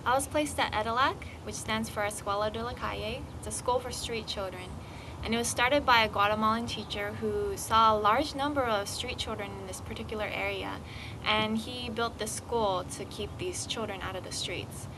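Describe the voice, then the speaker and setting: A woman's voice: medium pitch, moderate pace. A woman, a student volunteer, giving a matter-of-fact, informational interview testimonial. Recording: clear background traffic noise.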